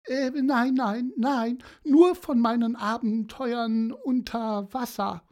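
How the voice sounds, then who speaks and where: A woman voicing a rambling, woeful female seahorse who tells tall tales slowly, with many hesitations. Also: a little high, dark tone, pitched above the performer's own voice. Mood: flustered. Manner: slow, hesitant, stammering with fillers. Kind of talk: storybook character voice.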